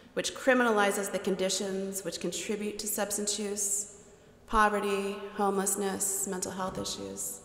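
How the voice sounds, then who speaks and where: A middle-aged woman preaching a sermon from a pulpit on a headset mic, with a measured, deliberate cadence. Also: medium pitch, a bit bright. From United States. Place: large church sanctuary. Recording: echoey church hall with long reverb.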